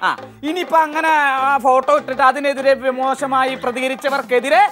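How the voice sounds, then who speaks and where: A woman's voice: sits high, steady tone, fairly quick, a bit bright, soft background music. A woman playing a blustering, case-hungry lawyer in a television comedy sketch, hesitating and repeating. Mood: indignant, boastful. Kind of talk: comic gossip sketch acting on television.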